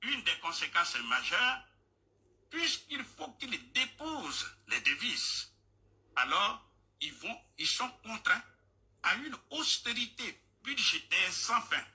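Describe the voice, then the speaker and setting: A man's voice: high-pitched, big pitch swings, slow pace, pushed and bright. A man explaining at length on a radio discussion, insistent and lecturing, repeating his points. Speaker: guest speaker on a radio discussion.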